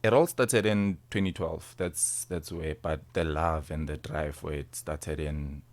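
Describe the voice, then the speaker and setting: A man's voice: a bass voice, steady tone, a little slow. A nineteen-year-old man with a South African accent, answering interview questions informally as a sports guest, often repeating and rephrasing. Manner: informal, repeats and rephrases, tags phrases with "you see". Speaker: interview guest, rugby player.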